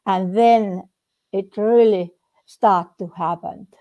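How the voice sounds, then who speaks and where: A woman with a Finnish accent, a native Finnish speaker, giving a personal account haltingly as she searches for words. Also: medium pitch, fairly even intonation, highs cut off. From Finland. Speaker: guest, trance medium. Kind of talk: personal account in a group conversation.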